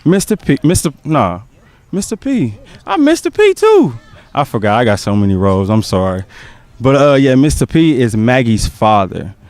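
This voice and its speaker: A man's voice: mid-range voice, huge pitch swings, moderate pace, a little mellow, no room sound. Joking laid-back young man. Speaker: stage actor. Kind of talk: casual interview chat.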